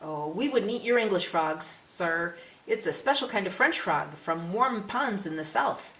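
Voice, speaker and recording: A woman's voice: medium pitch, animated, a little slow, a bit bright, pitched above the performer's own voice. A woman voicing a spirited, chatty little French girl in an audiobook, with a pert, precocious manner. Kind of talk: audiobook character voice. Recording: roomy echo with clear hiss.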